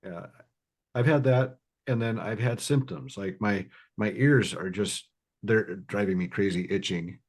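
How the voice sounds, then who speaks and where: A man co-hosting a casual podcast, talking in a relaxed way and laughing at his own jokes. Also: lowish voice, measured pace. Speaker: podcast co-host.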